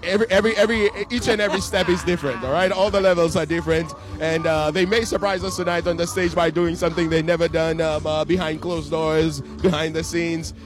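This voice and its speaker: Casting note: a higher-voiced man answering as an event judge, halting and full of fillers, jokey, with faint music behind. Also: steady tone. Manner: halting, many fillers, playful. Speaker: pageant judge interviewed at an event. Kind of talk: joking on-the-spot interview. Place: live evening event. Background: music playing.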